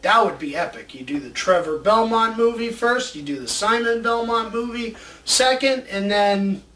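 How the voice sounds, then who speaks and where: A man co-hosting a casual chat show, pitching ideas with a fan's enthusiasm. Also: normal speed, pushed and bright. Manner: enthusiastic, fannish. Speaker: co-host of a movie ideas show. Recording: distant mic with faint background noise.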